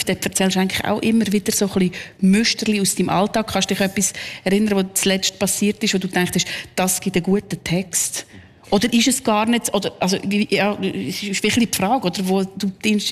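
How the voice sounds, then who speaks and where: A woman with a Swiss German accent hosting a radio interview, chatty and quick to laugh at herself. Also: medium pitch, steady tone, in a large hall. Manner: chatty, laughs often, self-deprecating. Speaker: radio host. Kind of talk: interview chat.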